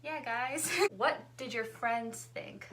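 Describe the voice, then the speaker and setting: A woman's voice: fairly high pitch, slow pace, fairly bright tone, a little hiss or hum. A woman hosting a casual couple vlog, talking in an easygoing, chatty way and laughing often. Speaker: vlogger hosting a couple tag video with her fiancé. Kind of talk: casual chat.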